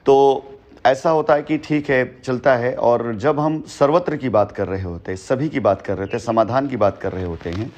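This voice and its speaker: A man's voice: mid-range voice, normal speed, darkish tone. A man on a recorded phone call, explaining at length in an emphatic, long-winded way and repeating his phrases for weight. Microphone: telephone.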